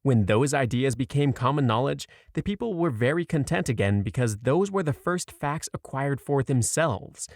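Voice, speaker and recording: A man narrating a short story aloud in a measured, clearly read storytelling delivery. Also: medium pitch, animated, no room sound, clean studio sound.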